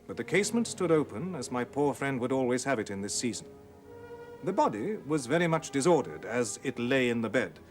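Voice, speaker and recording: A man voicing a solemn old-time parson reading his private notes, grave, formal and deliberate, in an audiobook ghost story. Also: mid-range voice, natural voice.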